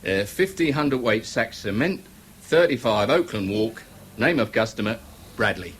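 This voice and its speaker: An actor playing a baffled, defensive builders' merchant in a radio drama, plain and hesitant. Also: male voice, on the low side, expressive, normal speed, fairly bright tone, husky. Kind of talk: 1970s radio drama acting.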